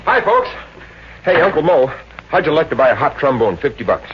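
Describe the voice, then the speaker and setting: Gravelly, breezy city wise guy. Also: a little high, very animated, a little fast. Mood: breezy, eager. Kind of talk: 1950s radio comedy acting. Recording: muffled, hissy old radio recording.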